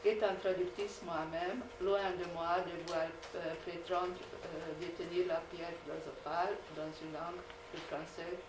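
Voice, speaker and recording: A woman whose first language is Hungarian, reading a measured academic lecture with frequent hesitations. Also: fairly low pitch, fairly even intonation, recorded from a distance. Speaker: conference speaker. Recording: echoey hall recorded from afar, noisy.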